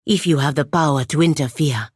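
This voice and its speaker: Proud blunt warrior woman for a video game, really low voice, stern and formal, dry close sound. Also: darkish tone, breathy edge, dry recording. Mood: stern, disdainful. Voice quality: very low-pitched voice. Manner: formal, measured, stern, disdainful. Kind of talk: video game voice acting, formal scripted dialogue.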